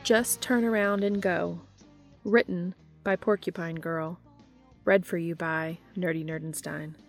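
Low-voiced woman reading fiction aloud. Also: steady tone, unhurried, gravelly, faint music behind. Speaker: podcast host reading fan fiction aloud. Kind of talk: scripted audiobook-style narration.

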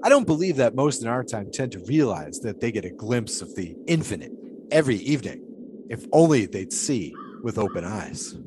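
Actor as a whimsical, musing 1920s pole-sitter, lively pitch. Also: male voice, moderate pace, noisy background, natural voice. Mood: reflective, contented. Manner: musing, folksy, old-fashioned storytelling phrasing. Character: eccentric philosophical 1920s pole-sitter, devout, whimsical, unhurried storyteller. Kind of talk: audio drama acting.

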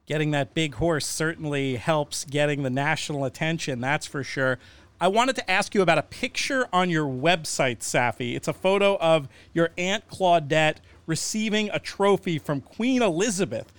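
A man co-hosting a radio interview, asking smooth, fluent questions. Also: on the high side, lively intonation, normal speed, fairly bright tone, no echo.

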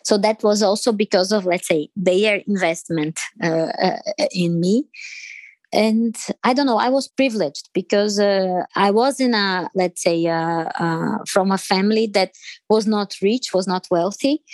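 A woman from Brazil with a Brazilian Portuguese accent, answering interview questions in English with many pauses, fillers and restarts. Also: mid-range voice, a little mellow, no echo. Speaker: interview guest.

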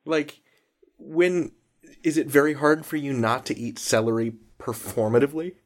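Podcast host: man, average pitch, casual and conversational as he puts questions to a guest. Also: steady tone, normal speed. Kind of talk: casual interview.

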